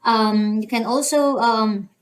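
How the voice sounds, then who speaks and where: A woman's voice: on the high side, slow pace, rough recording. A young woman with a Filipino accent, casually talking through an online form step by step, with many "um"s and "you know"s. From Philippines. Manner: hesitant, full of "um" and "you know" fillers. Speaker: channel host walking through a form. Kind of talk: casual screen-recorded tutorial.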